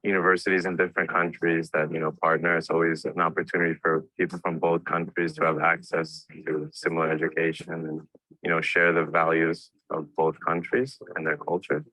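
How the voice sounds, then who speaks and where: A man from New York, a graduate student giving a relaxed testimonial in a promotional video, with many "you know" fillers. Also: deep voice, brisk, dry recording, rough recording. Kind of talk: testimonial interview.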